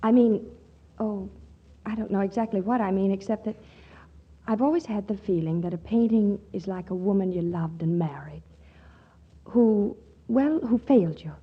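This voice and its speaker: An actress playing a feisty, romantic, quick-witted young woman in a 1940s radio comedy, with theatrical hesitations. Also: mid-range voice, slightly rough, narrow, tinny audio, lightly acted. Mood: tender, yearning. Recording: vintage 1940s radio recording, faint music.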